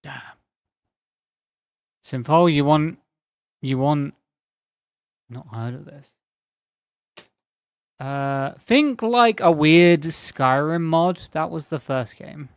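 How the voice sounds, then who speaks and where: A man with a fairly high voice drawls through a casual game stream, rambling and chatting with viewers by name. His voice sounds slightly worn, as if he is starting to lose it. The sound is dry and close, with faint music playing underneath. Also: very slow, long pauses, clean tone, no echo. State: slightly strained, losing his voice. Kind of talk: casual live-stream chat while gaming.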